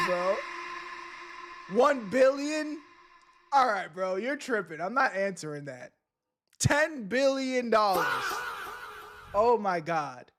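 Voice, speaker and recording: High-voiced, loud, slangy young streamer man. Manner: loud, laughing, slangy banter with chat. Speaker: streamer reacting to videos. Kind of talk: casual live-stream chat.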